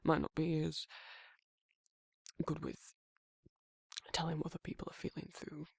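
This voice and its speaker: A British man playing a protective but awkward male werewolf in an intimate romantic ASMR roleplay, speaking in a halting, flustered, husky voice. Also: a little high, monotone, darkish tone, dry recording, exaggerated voice. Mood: shy, flirtatious. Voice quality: gravelly, husky, breathy. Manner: flustered, halting, stammering, trailing off.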